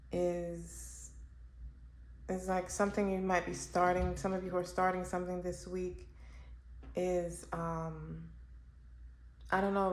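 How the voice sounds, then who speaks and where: A woman reads tarot cards on camera in a casual, unhurried way, pausing often to muse about what she sees. Her voice sits a little low and she takes her time. The room is echoey, with a faint hum of noise behind her. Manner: slow, musing, thinking aloud with pauses and fillers. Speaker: tarot reader. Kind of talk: casual pick-a-card tarot reading.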